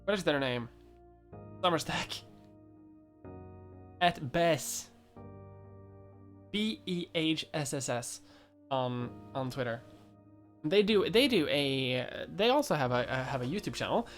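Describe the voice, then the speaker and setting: A man's voice: a little high, animated, slow, long pauses, fairly bright tone, faint music behind. A man in his twenties from Europe, chatting casually on a livestream, rambling with many fillers and restarts and laughing often. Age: 20s. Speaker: YouTuber.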